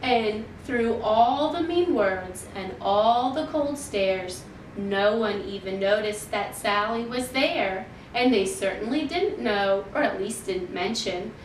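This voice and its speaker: A woman reading a rhyming picture book aloud to young children in a measured, deliberate storytelling manner. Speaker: children's library storytime reader. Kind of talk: expressive picture-book read-aloud in rhyme. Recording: roomy, distant mic, faint noise and rumble.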